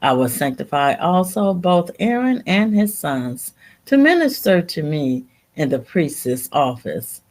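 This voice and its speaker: A woman reading scripture aloud in a deliberate, halting way, sometimes repeating a word as she goes. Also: sits low, big pitch swings, a little mellow. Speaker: Bible reader.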